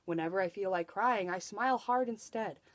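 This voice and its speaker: Storybook court jester, played by a woman: earnest, hopeful, troubled but determined, expressive rhyming delivery. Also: mid-range voice, normal speed, lightly acted. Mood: gentle, encouraging.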